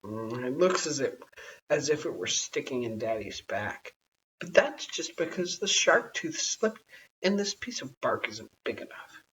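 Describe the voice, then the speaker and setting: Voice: mid-range voice, big pitch swings, measured pace, fairly bright tone. An adult storyteller voicing an eager, chatty, polite little girl in a children's storybook. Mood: cheerful, explaining. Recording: dull, hissy, distant home recording.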